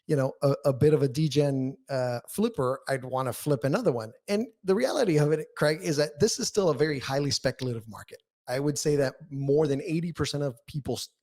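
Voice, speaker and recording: A man's voice: a little high, fairly quick, hardly any pauses, a little mellow. A man speaking as a podcast guest, explaining things candidly and emphatically in casual interview talk. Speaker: podcast guest, newsletter writer.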